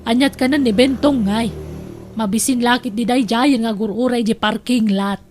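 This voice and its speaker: A woman acts out a stingy Ilocano aunt in an audio drama. The voice is high, breezy and exclamatory, with a falsely generous air. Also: fairly even intonation, normal speed, darkish tone, a little hiss or hum, natural voice. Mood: complaining.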